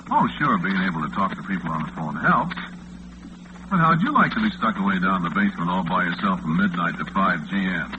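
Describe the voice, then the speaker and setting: Lonesome, affable American graveyard-shift disc jockey, 1940s radio drama, chatty and hesitant, animated pitch, narrow phone-like sound. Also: male voice. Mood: wry, chatty. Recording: muffled, noisy 1940s radio recording, phone-like band.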